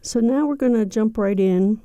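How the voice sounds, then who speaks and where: A woman giving an unscripted, step-by-step software walkthrough in a methodical, explanatory manner. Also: on the high side, normal speed, no room sound, highs cut off. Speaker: software tutorial presenter.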